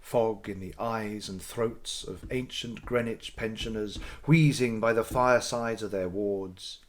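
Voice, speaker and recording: Man giving a dramatic literary reading. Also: fairly low pitch. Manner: theatrical, deliberate phrasing with dramatic pauses. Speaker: audiobook narrator.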